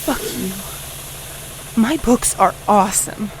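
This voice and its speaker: A woman's voice: medium pitch, extremely slow, very noisy. A woman playing a defiant, foul-mouthed pony heroine in an audio drama, speaking with dramatic determination.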